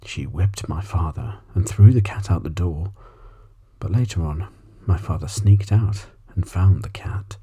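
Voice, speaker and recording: Very soft, deep, husky male bedtime reader. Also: a little slow. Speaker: audiobook reader. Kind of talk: ASMR bedtime story narration.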